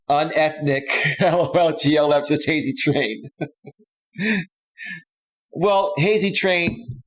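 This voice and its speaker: A man hosting a live stream, talking off the cuff and casually, with slangy, repetitive, insistent direct address. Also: fairly high pitch. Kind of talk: casual off-the-cuff livestream monologue.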